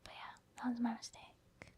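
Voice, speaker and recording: A woman giving soft ASMR commentary over video game play, informal and hesitant as she thinks aloud. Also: a little slow. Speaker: gaming ASMR video host.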